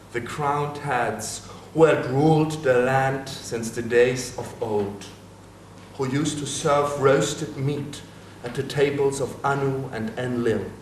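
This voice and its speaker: Casting: man as a dead soul describing the underworld, slow and grave, heard from afar in an echoey hall. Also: medium pitch, close to an everyday voice. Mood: hushed. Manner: slow, ominous recital. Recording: echoey hall from a distance, faint noise.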